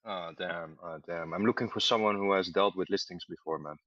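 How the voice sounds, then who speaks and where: A man calling in to a trading chat, hesitant and blunt, filling pauses with "uh". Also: deep voice, fairly bright tone, no echo. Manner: hesitant, many "uh" fillers, blunt. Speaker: caller, project founder. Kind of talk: casual chat. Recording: dull lo-fi mic.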